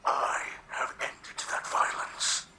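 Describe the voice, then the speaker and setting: An actor voicing a dignified, weary old alien war captain in a video game, with a breathy rasp and formal, measured speech. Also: male voice, pushed and bright, slight background noise. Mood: stern, reproachful. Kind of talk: dramatic video game character acting.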